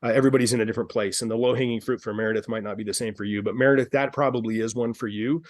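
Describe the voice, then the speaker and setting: A man's voice: fairly low pitch, fairly even intonation, very fast. A man hosting a live group coaching call, upbeat and persuasive, who repeats himself and calls on callers by name. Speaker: dance studio business coach, call host. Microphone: video call.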